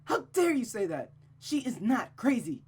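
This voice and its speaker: A woman playing an anxious, protective young woman, raised pitch. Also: sing-song, a little slow, a little mellow, husky, heavily acted. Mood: indignant, accusing. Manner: anxious, earnest, questioning. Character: worried young woman, loyal protective friend. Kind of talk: dramatic visual novel read-aloud.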